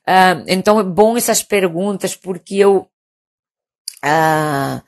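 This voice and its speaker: A woman's voice: on the low side, slow pace. A woman in her fifties from Mallorca, a native Catalan and Castilian speaker with a Spanish accent, chatting informally as a doctor hosting a live stream, often hesitating and checking in with tag questions. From Mallorca, Spain. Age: 50s. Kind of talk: casual live chat with viewers.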